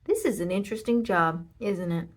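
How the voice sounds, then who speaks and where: A woman's voice: on the low side, moderate pace, natural voice. A woman voicing a matter-of-fact male fireman in a children's storybook. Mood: bemused.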